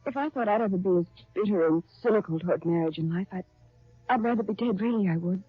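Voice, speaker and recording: An actress playing a tense young bride-to-be in a 1930s radio soap, speaking in an emotional, halting, earnest way. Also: female voice, mid-range voice, slightly rough, no echo, close to an everyday voice. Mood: fearful, desperate. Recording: dull, lo-fi 1930s radio recording.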